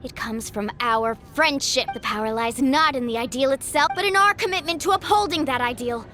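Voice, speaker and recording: A woman's voice: sits high, fairly quick, pushed and bright. An actress playing a loyal, protective young woman hero in a video game, earnest and resolute. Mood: defiant. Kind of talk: scripted video game acting.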